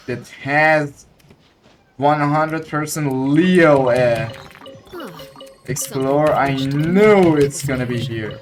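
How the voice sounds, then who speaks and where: A man giving casual gameplay commentary, thinking aloud hesitantly and repeating himself. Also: mid-range voice. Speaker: gaming streamer.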